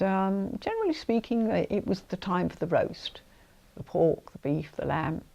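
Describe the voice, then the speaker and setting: A woman's voice: lowish voice, creaky, darkish tone, dull audio. A middle-aged woman with an English accent. She talks fondly in an interview and reminisces. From London. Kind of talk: casual interview.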